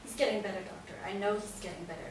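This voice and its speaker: A woman plays an upset old mother in a stage drama, hopeful and insistent. Her voice is quiet and mid-pitched. It is washed in heavy echo, with clear noise around it. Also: steady tone, racing, natural voice. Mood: upset, pleading. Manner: pleading, quiet. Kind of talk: stage play reading. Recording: very echoey hall, distant, noisy.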